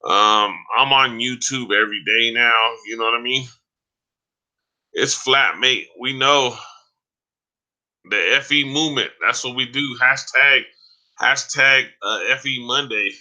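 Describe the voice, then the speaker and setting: A man hosts a casual live stream and talks straight to his viewers, with lots of fillers and "man" at the ends of lines. His voice is very bright and tense, and it is getting hoarse after a long time on air. He has a mid-range pitch and rambles loosely from one point to the next. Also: measured pace. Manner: addresses viewers by name, says "man" often. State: voice going hoarse.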